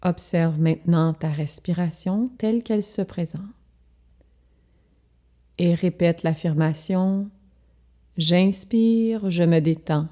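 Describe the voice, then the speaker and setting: A woman leading a guided relaxation as a yoga instructor, speaking calmly and soothingly in short, measured phrases with pauses. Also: fairly low pitch.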